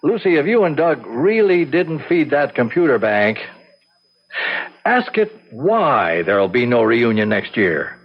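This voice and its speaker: An actor playing an amiable, curious gray-haired banker and war veteran in an old-time radio drama, on a muffled recording. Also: male voice, medium pitch, big pitch swings, unhurried, slight background noise. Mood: curious, challenging.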